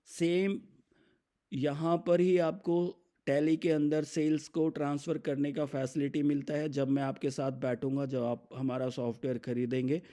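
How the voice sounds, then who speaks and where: An Indian man gives an instructional software demo. His voice is relaxed and warm, sitting a little higher than most men's. He explains each step in turn and slips English business terms into his sentences. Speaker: software demonstrator.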